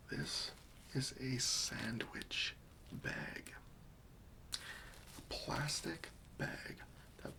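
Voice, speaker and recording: A gentle, patient helper played by a man in an ASMR roleplay, whispering slowly and coaxingly. The voice is crisp and sibilant on top, with a friendly, reassuring way of putting questions. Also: medium pitch, full character voice.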